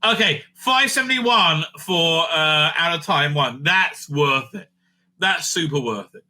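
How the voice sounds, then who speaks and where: A man with a strikingly bright, forceful voice, pitched a bit high, hosts a loose, rambling review. He is blunt and opinionated, swears freely and repeats phrases to hammer his verdicts home. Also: expressive, unhurried, poor audio. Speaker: host of a fan review show. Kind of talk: casual rambling review and ranking commentary.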